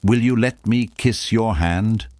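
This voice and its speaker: A man voicing a devoted, fragile little male swallow in a storybook, soft and earnest. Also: fairly low pitch, takes it slowly, no room sound, pitched above the performer's own voice. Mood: loving, resigned.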